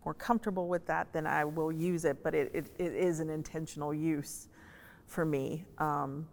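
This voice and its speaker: A woman from the US South speaking as a panel guest in a conversational, measured way, pausing to choose her words. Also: sits low, steady tone, a little hiss or hum. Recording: long hall-like reverb.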